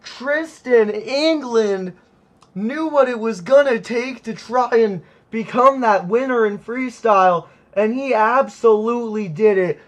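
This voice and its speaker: A male play-by-play commentator with a high voice who is losing it, going hoarse as he calls the action. He is hyped and keeps exclaiming, but his delivery stays unhurried. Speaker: commentator for a simulated monster truck series.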